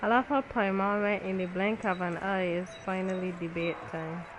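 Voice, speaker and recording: A woman's voice: mid-range voice, fairly even intonation, normal speed, low-quality recording. Blunt, offhand woman reacting. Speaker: gaming channel host. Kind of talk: casual reaction commentary. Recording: background noise.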